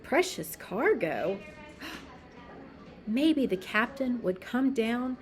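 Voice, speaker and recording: A woman reads a children's storybook aloud at a slow pace. Her voice sits at a medium pitch, and she gives phrases deliberate, dramatic emphasis. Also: darkish tone. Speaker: storybook reader.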